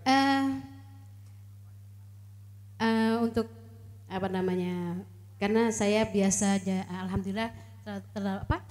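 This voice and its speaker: A slightly hoarse woman from East Kalimantan, a competition judge speaking hesitantly with many fillers, giving earnest advice on a mic at an outdoor stage event. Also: medium pitch, fairly even intonation, slow, long pauses, darkish tone. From Kutai Kartanegara, East Kalimantan. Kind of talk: casual live-event chat on a mic.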